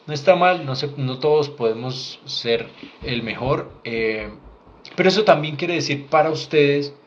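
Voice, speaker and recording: A man's voice: fairly high pitch, animated, a little slow. A Colombian man in his thirties, a doctor, speaking frankly and earnestly to camera about his career path with a Colombian accent. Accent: Colombian Spanish. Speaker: doctor sharing career advice. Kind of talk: direct-to-camera advice vlog. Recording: slightly dull lo-fi sound with faint noise.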